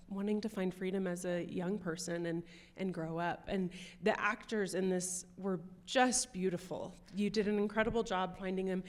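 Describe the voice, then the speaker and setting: A woman hosts a post-screening conversation, praising the film and asking questions. Her voice sits in a middle register, and her delivery is appreciative and easygoing, with a few fillers. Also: medium pitch. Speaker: interviewer, programming director.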